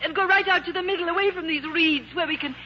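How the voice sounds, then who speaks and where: A woman's voice: very high-pitched, fast talker. An actress playing a devout, genteel, determined and tender woman in a vintage radio drama, speaking in a proper, earnest way. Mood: relieved, elated. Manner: proper, earnest, well-spoken. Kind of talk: 1950s radio theater acting. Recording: muffled old radio broadcast recording.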